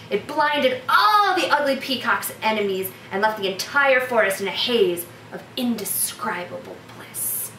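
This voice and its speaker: A woman's voice: a little high, sharp tone. A woman vlogger telling stories in a casual, chatty and playful way, speaking straight to her viewers. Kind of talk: casual storytelling to camera. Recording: roomy echo, distant mic, background noise.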